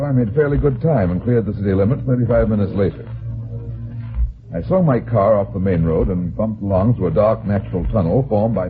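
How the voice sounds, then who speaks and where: An actor playing a tough, terse, sardonic heavyset private detective in an old-time radio drama. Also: male voice, fairly low pitch, natural voice. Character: hard-boiled, suspicious heavyset male private detective. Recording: tinny band-limited old radio recording.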